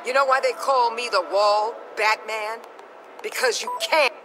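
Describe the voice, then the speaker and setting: An actress playing a blunt, unyielding government agency boss in a video game, speaking with authority. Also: female voice, a little high, fairly even intonation, measured pace, over music, close to an everyday voice, rough recording. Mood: defiant. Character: hard-bitten, commanding female government agency boss.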